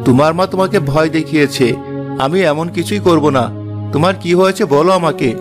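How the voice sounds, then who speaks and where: A man playing a kindly, protective young woodcutter father with a gentle, reassuring manner in a fairy-tale cartoon. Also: on the high side, brisk, music in the background, natural voice.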